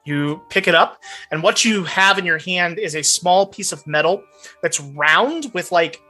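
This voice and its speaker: Bright-voiced man running a tabletop game. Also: high voice, expressive, a little slow, faint music behind. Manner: improvised, descriptive narration. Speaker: game master. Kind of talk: narrating a tabletop roleplaying game.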